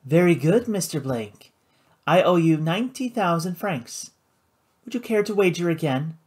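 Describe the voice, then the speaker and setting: A man voicing an elegant, cold woman who runs a casino, speaking in a poised, measured, formal way. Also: a little high, animated, a little mellow, slightly rough, voice pushed up. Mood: cool, formal.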